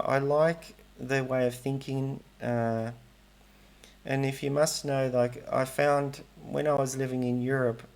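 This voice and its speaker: A man's voice: medium pitch, creaky. A middle-aged man talking casually in a vlog, rambling and hesitant, often restarting and correcting himself. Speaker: language learning vlogger.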